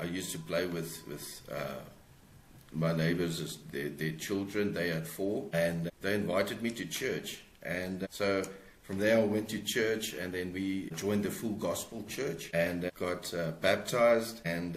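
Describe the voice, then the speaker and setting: A man in his forties with a South African accent, talking reflectively as an interview guest in a hesitant, halting way. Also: sits low, roomy sound. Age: 40s. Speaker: guest author.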